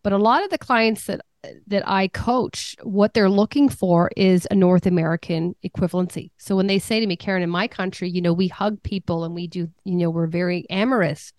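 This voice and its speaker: A woman's voice: on the low side, fairly even intonation, brisk, darkish tone, no room sound. A woman business coach answering interview questions in an earnest, conversational way, often repeating a word as she starts a thought.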